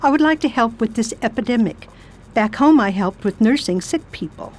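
A woman voicing a resolute American volunteer nurse in an amateur radio play. The voice is slightly high. The delivery is brisk, firm and used to giving orders. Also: animated, darkish tone. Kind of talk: radio drama acting.

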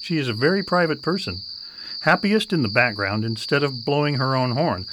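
A man playing an earnest, formally polite Victorian gentleman in a radio drama. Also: medium pitch, lively intonation, moderate pace, natural voice. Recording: noisy night ambience with crickets.

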